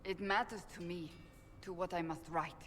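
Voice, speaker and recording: A woman voicing a proud, earnest warrior woman with a secret romantic side, speaking formally and deliberately in dramatic game dialogue. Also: on the low side, fairly even intonation, fairly bright tone, faint music behind, close to an everyday voice. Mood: grave, earnest.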